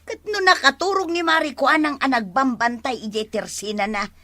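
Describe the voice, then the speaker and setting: A woman playing a sharp, nagging village woman in a radio comedy drama, scolding and questioning. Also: sits high, moderate pace, no echo.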